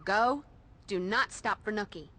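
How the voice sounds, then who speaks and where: An actress playing a bossy, sarcastic teenage demon fighter with an American accent in a video game, blunt and slangy. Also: female voice, medium pitch, unhurried, bright, forceful tone.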